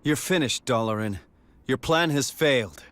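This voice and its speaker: A man's voice: medium pitch, animated, moderate pace, a bit bright, no echo, close to an everyday voice. An actor playing a noble, resolute young king in a video game, speaking with earnest heroic conviction. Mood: firm. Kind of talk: dramatic video game voice acting.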